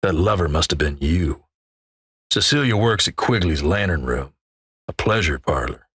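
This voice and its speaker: Deep gruff cowboy narrator for a western game, folksy drawl, husky, wry and ominous. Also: male voice, expressive, fairly bright tone, no room sound, clean studio sound, heavily acted. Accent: American Western drawl. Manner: folksy, measured storytelling. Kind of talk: dramatic second-person scripted game narration.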